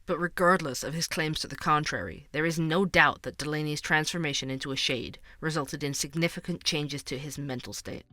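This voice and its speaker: A man's voice: on the high side, fairly quick, hardly any pauses, bright, forceful tone, no echo, studio quality. A man playing an inquisitive, earnest true-crime podcast host, delivering scripted narration. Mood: serious, intrigued.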